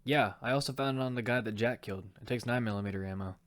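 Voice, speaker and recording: A man's voice: mid-range voice, fast, no echo, close to an everyday voice. A man playing a determined young fighter who narrates his own survival story in an amateur audio drama. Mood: casual, focused. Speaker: narrator and lead actor. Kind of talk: first-person narration of amateur audio fiction. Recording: clearly noisy amateur recording with clicks.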